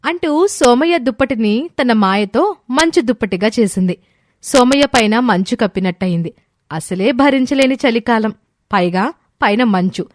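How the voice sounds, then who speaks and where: A woman narrates a scripted moral story. Her voice sits a little high. The recording is very dry, with no room echo. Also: expressive, moderate pace.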